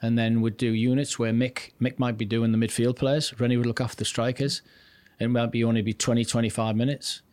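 Older north-east england bloke on a podcast, rambling storyteller, keeps restarting sentences. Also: male voice, mid-range voice, little pitch movement, fairly quick, few pauses, a little mellow, smooth, no room sound. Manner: chatty, rambling, restarts sentences, acts out quotes. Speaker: podcast guest, former goalkeeping coach. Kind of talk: casual anecdotal storytelling.

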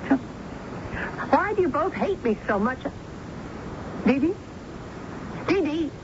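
An actress playing a middle-aged American businesswoman and controlling, wounded mother, brisk and emotional, in 1970s radio drama style. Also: high-pitched, big pitch swings, exaggerated voice. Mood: pleading, hurt. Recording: muffled, noisy old radio broadcast recording.

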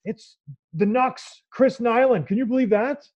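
A man's voice: extremely high pitch, normal speed, no echo. A man hosting a podcast, chatty and upbeat, often laughing with his guest. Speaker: podcast host. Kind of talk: casual podcast conversation. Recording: dry, slightly dull sound.

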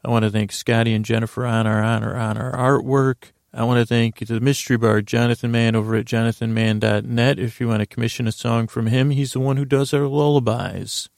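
A man hosting a podcast in a rambling, improvised bedtime-story style, halting and meandering, often repeating himself, with a creaky voice. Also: mid-range voice, little pitch movement, no room sound, studio quality. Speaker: podcast host.

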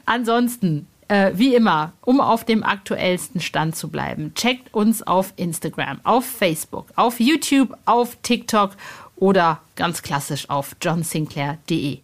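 A woman's voice: lowish voice, expressive, unhurried. A woman hosting a podcast in an informal, chatty, colloquial way with bubbly energy. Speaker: podcast host. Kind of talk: casual chatty podcast presenting.

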